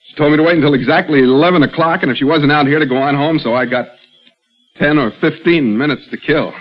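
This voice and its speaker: An actor playing a cold, menacing hired man in an old radio drama, who speaks flat and blunt. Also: on the high side, fast talker, close to an everyday voice. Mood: hard. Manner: flat, blunt, hard-edged. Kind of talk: 1940s radio acting. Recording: dull old radio broadcast.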